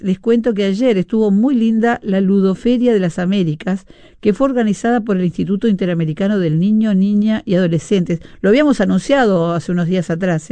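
A woman's voice: mid-range voice, no room sound. Chatty Uruguayan woman radio host. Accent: Uruguayan Spanish. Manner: chatty, warm asides, frequent fillers and laughs. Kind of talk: casual radio chat reading listener messages.

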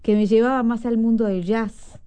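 Higher-voiced woman interviewer, mellow tone. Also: fairly even intonation, normal speed, clean tone. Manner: asks long, winding questions.

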